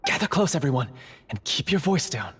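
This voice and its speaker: Earnest undercover dungeon guard, long reverb. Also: male voice, on the high side, big pitch swings, a little fast, fairly bright tone. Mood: hushed urgent. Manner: grave, urgent, formal. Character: earnest undercover male dungeon guard, secret monster hunter. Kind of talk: fantasy video game voice acting. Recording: long reverb, faint noise.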